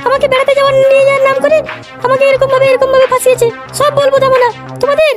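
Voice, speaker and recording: An indignant young cartoon bride, complaining over and over in a tiny, extremely high voice. She rattles on at great speed with hardly any change of pitch. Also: level tone, very fast, music in the background. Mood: indignant, threatening. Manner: complaining, repetitive. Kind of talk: Bengali comedy cartoon dialogue.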